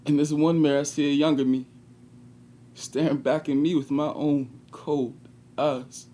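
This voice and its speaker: An actor playing an anguished, regretful 21-year-old prisoner in a confessional stage monologue with dramatic pauses. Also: male voice, a little high, little pitch movement, dark tone, natural voice. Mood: wistful. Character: anguished 21-year-old male prisoner, former track star, bitter and regretful.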